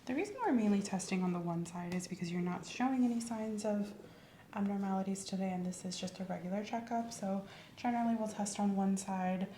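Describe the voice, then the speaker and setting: Soft, mellow woman in ASMR exam roleplay. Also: mid-range voice, a little fast, reverberant, a little hiss or hum. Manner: gentle, reassuring, instructive. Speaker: ASMR performer playing a doctor doing a medical exam. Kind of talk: ASMR medical roleplay, soft-spoken with whispering.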